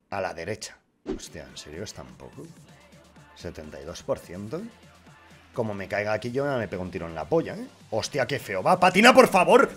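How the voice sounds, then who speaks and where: A man with a Castilian Spanish accent doing casual, foul-mouthed, excitable gaming commentary for his viewers. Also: sits high. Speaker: YouTuber, gaming streamer. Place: small room with acoustic foam panels. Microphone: boom-arm desk mic close up.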